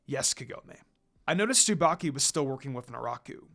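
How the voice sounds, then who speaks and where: A man's voice: medium pitch, lively intonation, fairly quick, a bit bright, pitched below the performer's own voice. A man voicing a courteous, reassuring young male companion in a dramatic story reading.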